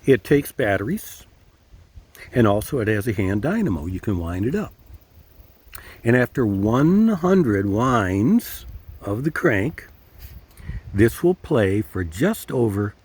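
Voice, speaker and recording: Older man, husky voice, casual gear review, deliberate delivery, emphatic repetition. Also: fairly low pitch, very animated, a little mellow. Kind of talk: casual product review to camera.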